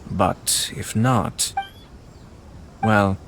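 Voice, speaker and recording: A man playing a composed, shrewd young nobleman in a video game, speaking formally, politely and in a measured way. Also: on the low side, a bit bright, a little hiss or hum. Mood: measured, analytical. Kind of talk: scripted video game dialogue.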